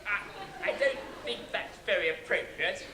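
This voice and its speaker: A man's voice: high-pitched, expressive, moderate pace, sharp tone. A man playing a fussy, prim father in a stage pantomime, marshalling his children. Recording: echoey, noisy stage recording.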